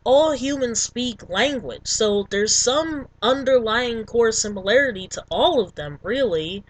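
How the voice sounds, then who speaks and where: A woman's voice: fairly high pitch, expressive, measured pace, rough recording. Rambling young woman vlogger. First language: English. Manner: rambling, full of ums and likes. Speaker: language vlogger. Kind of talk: casual talk to camera.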